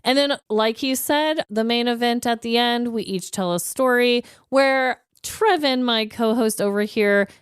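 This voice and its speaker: A woman's voice: a little high, a little slow, few pauses, clean tone, no room sound, studio quality. A woman co-hosting a podcast with casual comedic banter and a playfully mock-dramatic way of talking. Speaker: podcast co-host.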